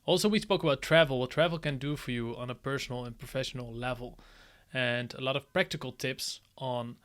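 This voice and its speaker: A man's voice: mid-range voice, fairly bright tone. A man hosting a podcast, introducing his guest and asking him questions in an upbeat, chatty way. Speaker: podcast host. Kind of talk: casual interview with a scripted intro.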